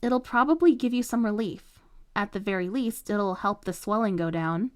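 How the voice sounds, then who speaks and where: A woman voicing a patient female teacher who speaks in a calm, measured, gently firm way. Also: mid-range voice, dry recording, clean studio sound, natural voice. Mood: gentle. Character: patient adult female teacher, stern but kind.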